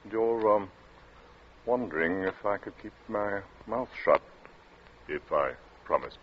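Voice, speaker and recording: An actor playing a timid, flustered gentleman in an old radio thriller, talking in hesitant, mumbled fragments as though cornered. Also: fairly low pitch, lively intonation. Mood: cautious. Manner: halting, mumbling, stammering hesitancy. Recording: muffled, noisy vintage radio recording.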